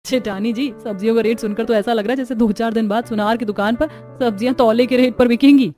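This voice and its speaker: A woman voicing a sharp-tongued, thrifty young village wife in a cartoon story, indignant and scolding. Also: fairly high pitch, steady tone, quick pace, lightly acted. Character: feisty, thrifty poor village daughters-in-law, sharp-tongued women. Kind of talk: Hindi cartoon story acting. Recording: music behind the voice.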